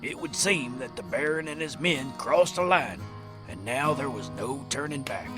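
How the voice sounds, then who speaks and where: Lively male narrator over guitar. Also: mid-range voice, moderate pace. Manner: folksy storyteller. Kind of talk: dramatic scripted Western narration.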